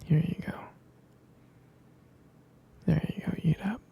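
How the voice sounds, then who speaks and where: A man playing a doting, gently teasing boyfriend in an ASMR roleplay, murmuring tenderly and hesitantly in a breathy, gravelly whisper. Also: lightly acted. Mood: tender, adoring. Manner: slow, tender, hesitant murmuring with pet names.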